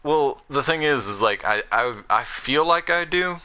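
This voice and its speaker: A man's voice: fairly high pitch, lively intonation, unhurried, sharp tone, clear, resonant tone. A young American man from the South with a mixed Southern and Northern accent, chatting casually and rambling while he streams a game. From Southern United States. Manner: rambling, many fillers and restarts. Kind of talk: casual chat while gaming.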